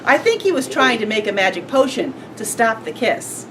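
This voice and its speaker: A woman's voice: sing-song, normal speed. A woman reading a children's story aloud as a member of school staff. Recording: noisy, rumbly, distant microphone.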